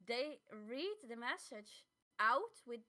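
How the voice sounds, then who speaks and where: A woman's voice: fairly high pitch, animated, takes it slowly, sharp tone. A native Dutch woman speaking English in a casual discussion as a podcast co-host.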